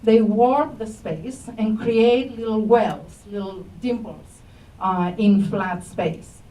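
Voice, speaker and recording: A woman's voice: mid-range voice, takes it slowly, dark tone. A middle-aged woman with a Greek accent giving a friendly public science talk, asking the audience questions, with many fillers. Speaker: astronomy professor. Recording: echoey room, voice from far off mic.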